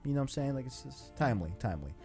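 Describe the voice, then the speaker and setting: A man's voice: medium pitch, sing-song, rapid-fire, dark tone, soft background music. A man hosting a video game discussion show, chatting casually in a rambling way full of verbal tags like "you know". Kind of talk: casual chat.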